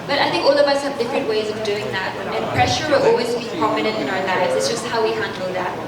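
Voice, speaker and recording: A woman's voice: a little high, over a noisy crowd. Woman, 20s, from France, pageant candidate, poised, distant mic, very echoey room. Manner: poised, measured answers. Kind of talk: press Q&A answers. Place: hotel function room.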